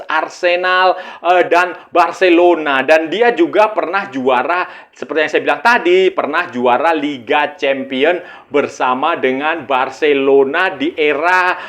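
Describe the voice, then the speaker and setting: A man's voice: a little high, a bit bright. A man giving casual football commentary, conversational and repetitive, often addressing his listeners directly. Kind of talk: casual opinion monologue on football news.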